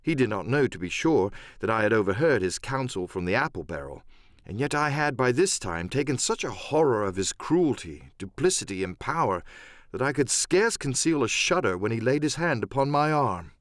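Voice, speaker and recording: Expressive male audiobook narrator, lively intonation. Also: mid-range voice, normal speed, dry recording, studio quality. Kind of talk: scripted dramatic first-person narration.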